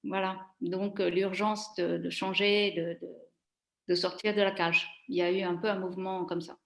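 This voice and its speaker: A woman's voice: fairly low pitch, flat delivery, normal speed, gravelly, echoey room. A woman in her fifties from Italy, speaking French with an Italian accent, answering as an interview guest in a hesitant, reflective way with many fillers.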